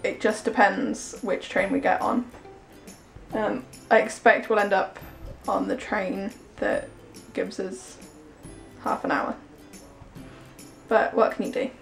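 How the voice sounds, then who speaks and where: A woman's voice: medium pitch, flat delivery, slow, gravelly, faint music behind. A woman in her twenties with an English accent, chatting casually in a vlog on a handheld camera. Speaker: vlogger and dog handler. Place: kitchen, train and station platform. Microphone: handheld camera at arm's length.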